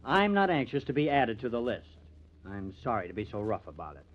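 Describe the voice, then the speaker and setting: A man's voice: medium pitch, very animated, brisk. A tense newspaper artist with murder in him, in old-time radio style. His sardonic, menacing lines rise and fall dramatically. The vintage recording is dull and muffled. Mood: stern, tense.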